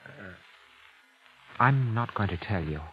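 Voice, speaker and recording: Over a muffled vintage radio recording with music behind, a calculating man accused of murder. His voice is low and deliberate, turning cagey and halting when pressed, in classic radio suspense style. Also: animated, husky, full character voice. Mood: guarded, evasive. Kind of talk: 1940s-50s radio suspense acting, first-person narration.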